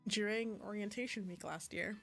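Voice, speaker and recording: A woman's voice: mid-range voice, fairly even intonation, moderate pace. A woman playing a visual novel, reading it aloud and reacting in a casual, slangy, unpolished way. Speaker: video game streamer. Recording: music playing behind the voice. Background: game music.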